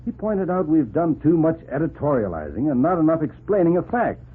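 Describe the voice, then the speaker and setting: An actor in a 1940s radio drama playing a gruff but approving newspaper editor, emphatic and bossy. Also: male voice, fairly high pitch, big pitch swings, a little fast. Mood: approving, animated. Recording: narrow old 1940s radio recording.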